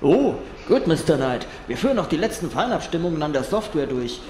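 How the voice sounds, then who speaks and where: Male actor as servile scientist, animated, explanatory, audio drama, echoey room. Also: a little high, a little fast. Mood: eager, deferential. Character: eager male doctor reporting to his boss. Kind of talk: German fan audio drama acting. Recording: echoey room with background noise.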